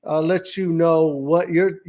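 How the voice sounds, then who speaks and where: This is a man in his fifties with a drawl who co-hosts a podcast. He talks in an easygoing, joking way and stretches his stories out. His voice sits a touch high for a man. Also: steady tone, warm tone. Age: 50s. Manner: easygoing, joking, drawn-out storytelling. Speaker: podcast co-host. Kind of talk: casual chat.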